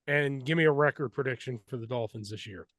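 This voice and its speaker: A man's voice: mid-range voice, brisk, a bit bright, no room sound, studio quality. A man hosting a sports podcast, putting questions to a guest in a conversational way. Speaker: podcast host.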